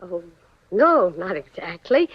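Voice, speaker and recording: An actress playing a scheming, slangy gangster's moll in a 1940s radio crime drama. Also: female voice, lowish voice, sing-song, slow pace, slightly rough, dry recording, full character voice. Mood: evasive, coy. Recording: dry, dull 1940s radio recording.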